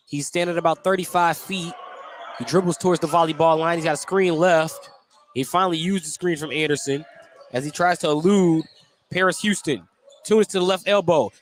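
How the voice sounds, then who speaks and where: Guy calling hoops play by play on radio, high voice, faint noise behind him. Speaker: play-by-play sports announcer. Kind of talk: live basketball radio commentary.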